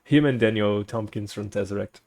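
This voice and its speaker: Gravelly low-voiced man with Portuguese accent. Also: moderate pace, a little mellow. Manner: rambling, searches for words, laughs often. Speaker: streamer. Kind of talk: casual chat with viewers.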